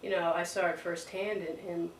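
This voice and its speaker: Lower-voiced middle-aged woman, echoey interview. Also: level tone, normal speed. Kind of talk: conversational recollection in interview. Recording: echoey room, distant mic, faint noise.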